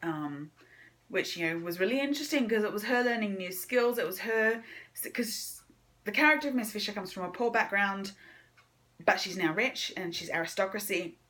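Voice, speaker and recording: A woman's voice: mid-range voice, expressive, moderate pace, fairly bright tone. A woman giving a casual book vlog chat, informal and hesitant as she thinks aloud. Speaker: book vlogger. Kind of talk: casual monthly reading wrap-up chat.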